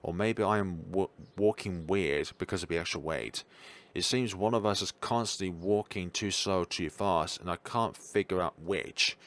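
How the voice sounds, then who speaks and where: A man reading a visual novel aloud as a let's player, giving dramatic scripted narration with occasional stumbles and repeated words. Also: low voice.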